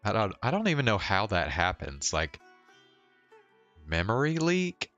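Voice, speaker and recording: A man's voice: on the low side, wildly swooping pitch, a little slow, fairly bright tone, lo-fi sound. A man chatting casually on a game livestream, rambling and hesitant as he thinks aloud, with game music behind him. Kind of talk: casual chat while playing a game.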